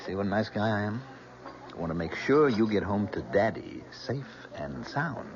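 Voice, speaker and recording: Casting: sardonic young American fiancé, bitter drinker, low creaky voice, swinging intonation, old radio drama sound. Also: male voice, animated, moderate pace, a loud crowd. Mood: sardonic, self-mocking. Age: young adult. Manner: sarcastic, self-mocking. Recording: muffled, noisy old radio recording.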